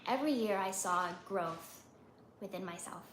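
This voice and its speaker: A soft-spoken young woman from New York talks as an interview guest. Her voice is quiet and mid-pitched. The room is echoey, with faint ambient noise behind her. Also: normal speed. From United States (New York). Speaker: guest, podcaster.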